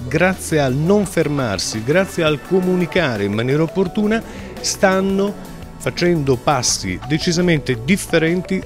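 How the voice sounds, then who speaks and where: A man in his fifties, a consultant, answering an interview with emphatic, deliberate phrasing into a handheld microphone. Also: a little high. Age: 50s. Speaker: marketing consultant being interviewed. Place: classroom, indoors. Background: music.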